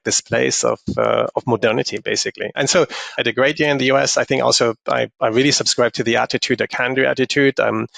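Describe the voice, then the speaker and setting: A middle-aged man from northern Germany speaks with a German accent, his voice carrying a gravelly edge. He talks in a thoughtful, halting way, often restarting his sentences. The sound is dry and close to the mic. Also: mid-range voice, fairly bright tone, no echo. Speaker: guest, investor. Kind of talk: podcast interview.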